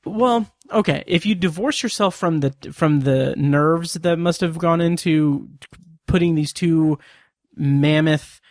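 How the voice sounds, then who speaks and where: A man co-hosting a podcast in casual chat, halting and searching for words, his voice getting hoarse. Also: fairly high pitch, a little mellow, dry recording, studio quality. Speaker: podcast co-host.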